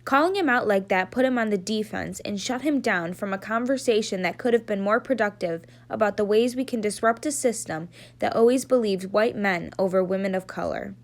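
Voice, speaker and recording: A young woman reading a nonfiction book aloud in an earnest, steady voice, then explaining a class activity in a bubbly way. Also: mid-range voice, brisk, few pauses. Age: young adult. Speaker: student reader.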